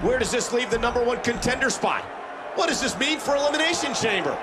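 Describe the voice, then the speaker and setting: An actor playing an excitable play-by-play wrestling commentator in a video game. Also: male voice, extremely high pitch, fast talker, background noise. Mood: excited.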